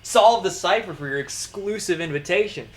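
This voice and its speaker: A man giving casual, profane running commentary, muttering and then breaking into sudden loud outbursts, his throat strained. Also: sits high, lively intonation, moderate pace. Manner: swears a lot, mutters, jumps to loud outbursts. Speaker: streamer reacting to videos.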